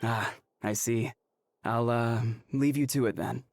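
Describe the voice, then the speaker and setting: An awkward, apologetic bystander in a video game. The voice is extremely low and slow, halting and hesitant, over clear background noise. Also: female voice, fairly even intonation. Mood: sheepish. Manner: halting, throat-clearing, evasive.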